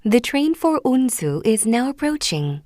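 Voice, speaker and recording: A woman's voice: fairly high pitch, big pitch swings, very slow, mellow, no room sound, clean studio sound. A woman reading short scripted train station announcements, formal and carefully enunciated. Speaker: English-language station announcer. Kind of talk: scripted public transit announcement.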